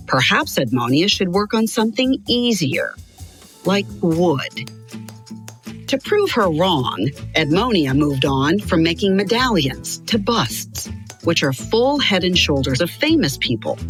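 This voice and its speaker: A woman narrating a scripted children's biography in an expressive, clearly articulated storytelling style. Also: medium pitch, measured pace, soft background music.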